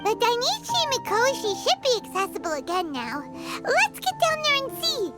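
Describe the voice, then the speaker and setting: A woman voicing a small, childlike fairy companion in a video game, squeaky, excitable and quick to exclaim indignantly. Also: heavily acted. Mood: excited, curious. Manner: exclamatory, refers to self in third person. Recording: music behind the voice.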